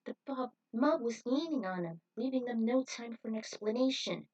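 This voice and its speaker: A woman's voice: a little high, expressive, moderate pace, darkish tone, distant mic, highs cut off. A woman reading fan fiction as an amateur narrator.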